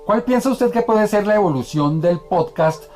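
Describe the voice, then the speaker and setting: A man's voice: high-pitched, animated, normal speed, darkish tone, faint music behind. A man hosting a formal interview as a TV presenter. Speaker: TV show host and interviewer.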